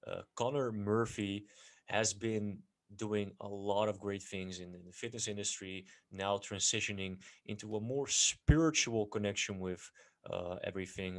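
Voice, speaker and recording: Low-voiced Dutch-accented man hosting. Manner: hesitant, many fillers and restarts. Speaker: podcast host. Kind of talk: casual interview.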